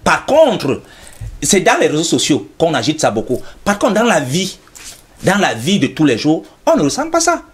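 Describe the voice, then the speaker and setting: A man arguing his point on a studio talk show. His pitch sits a little high and swings widely as he presses on, going back over his own phrases to keep the floor. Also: huge pitch swings, normal speed. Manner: insistent, repeats himself to hold the floor. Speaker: studio guest commentator. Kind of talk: television debate.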